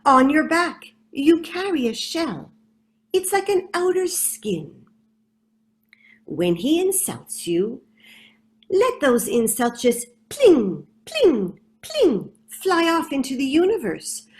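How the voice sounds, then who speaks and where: A woman's voice: high voice, sing-song, takes it slowly, voice pushed up, heavily acted. A woman voicing a wise, caring mother bug giving soothing advice. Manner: soothing, counseling.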